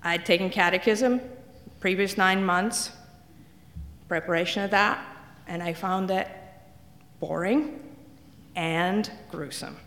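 A woman's voice: fairly low pitch, slow pace, pauses often, fairly bright tone. Wry woman in an echoey hall. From Italy. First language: Italian. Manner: wry, self-deprecating storytelling. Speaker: guest speaker. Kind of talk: humorous personal testimony talk.

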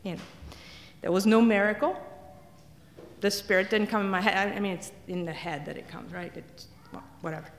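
A woman's voice: medium pitch, steady tone, a little fast, pauses often, a little hiss or hum. Wry woman in an echoey hall. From Italy. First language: Italian. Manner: wry, self-deprecating storytelling. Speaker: guest speaker. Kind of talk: humorous personal testimony talk.

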